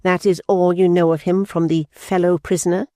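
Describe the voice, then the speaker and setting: A man reading aloud and voicing a stern, cold older mother who speaks slowly and severely. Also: high-pitched, level tone, dark tone, dry recording, studio quality, pitched above the performer's own voice, natural voice. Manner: stern, clipped, deliberate.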